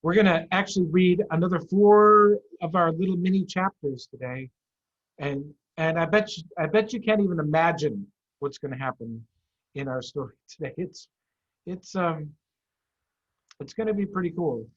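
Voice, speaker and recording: A man, a head of school, chatting to children and reading them a story in an animated way over a video call. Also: on the high side, moderate pace. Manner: animated, addressing children with simple questions. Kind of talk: children's storytime read-aloud. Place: office. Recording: dull, lo-fi video-call audio.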